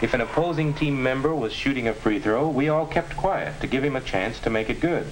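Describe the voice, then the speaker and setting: Casting: young male actor as sincere American high schooler recounting school days for a 1950s classroom film, folksy delivery, noisy vintage audio. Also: lively intonation, brisk, natural voice. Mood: earnest, nostalgic. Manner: earnest, folksy. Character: earnest high school boy recalling his school. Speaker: narrator. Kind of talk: 1950s educational film narration, scripted first-person storytelling.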